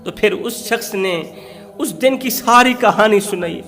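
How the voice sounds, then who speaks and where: A man's voice: sits high, a little slow, a bit bright. A man preaching an emotional Islamic moral story, dramatically, with emphatic repetition.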